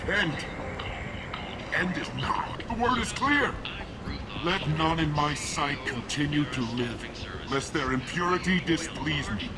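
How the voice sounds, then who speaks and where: An actor playing a zealous, menacing alien street preacher, declaiming bombastically in solemn, archaic apocalyptic sermon style for a video game. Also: male voice, fairly high pitch, lively intonation, slow, noisy background. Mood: wrathful. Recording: loud music over the voice.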